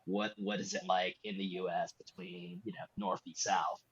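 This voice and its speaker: A man's voice: low-pitched, little pitch movement, normal speed, husky, dull audio. A man on a film podcast, speaking thoughtfully and hedging. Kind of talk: casual podcast discussion.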